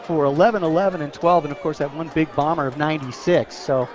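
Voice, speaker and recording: A man's voice: fairly high pitch, fast, dull audio. An American man giving conversational color commentary on a live football radio broadcast. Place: outdoor high school football stadium. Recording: loud crowd behind, outdoor stadium broadcast. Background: crowd noise.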